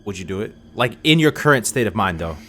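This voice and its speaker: A man's voice: on the low side, sing-song, quick pace, a bit bright, faint music behind. A man in his late twenties chatting on a gaming stream, laid-back and slangy. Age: 20s. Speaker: guest streamer. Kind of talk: casual group chat on a live stream.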